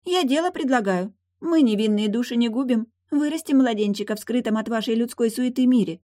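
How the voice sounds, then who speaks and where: A high-pitched young mermaid from a fantasy audiobook, played by a woman narrator. She is brash and carefree, teasing with sly, haughty exclamations. The sound is dry and close. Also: a little fast, warm tone, no room sound, lightly acted. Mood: sly, wheedling.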